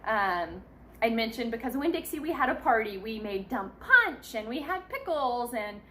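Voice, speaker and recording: A woman's voice: on the high side, big pitch swings, normal speed, pushed and bright, slight background noise. A woman in her thirties, a mother giving casual, encouraging tips in a chatty vlog style. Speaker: mother sharing parenting tips. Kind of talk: casual instructional vlog talk.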